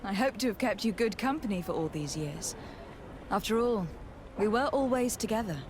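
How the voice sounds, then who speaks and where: A woman's voice: medium pitch, expressive, moderate pace, background noise, close to an everyday voice. A woman playing a poised, politely teasing young noblewoman diplomat in a video game. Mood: warm, wistful.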